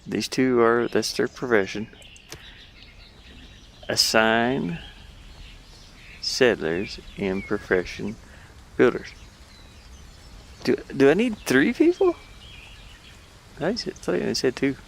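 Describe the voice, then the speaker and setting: Man, gravelly fry, slow pace, casual gameplay commentary, puzzled muttering, faint background noise. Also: mid-range voice, very animated. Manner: puzzled, muttering to himself, thinking aloud. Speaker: gamer playing and commenting on a game.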